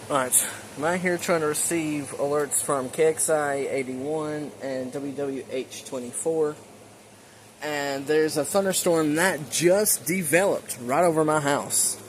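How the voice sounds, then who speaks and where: A man's voice: a little high, unhurried. A man talking casually as he narrates his radio hobby to the listener. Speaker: hobbyist recording weather radio alerts. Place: outdoors near his house. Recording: faint outdoor ambient noise.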